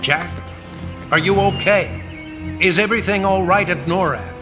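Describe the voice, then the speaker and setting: An actor playing a grave, weary American president in a radio drama, measured and commanding. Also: male voice, high-pitched. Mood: concerned. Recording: music behind the voice.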